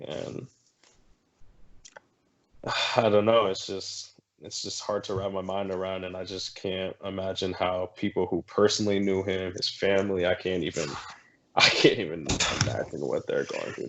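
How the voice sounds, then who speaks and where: A man from Haiti in casual podcast talk, speaking haltingly and emotionally. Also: sits low, fairly even intonation, fairly bright tone, rough recording. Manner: emotional, halting, searching for words. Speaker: podcast guest. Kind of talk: casual chat.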